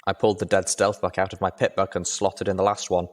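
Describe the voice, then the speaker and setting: A man's voice: low-pitched, flat delivery, quick pace. A man reading scripted adventure fiction as the narrator.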